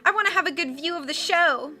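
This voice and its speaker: A woman voicing an eager, bloodthirsty woman in a crowd, talking loudly and excitedly. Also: very high-pitched, fast, soft background music, pitched above the performer's own voice, heavily acted.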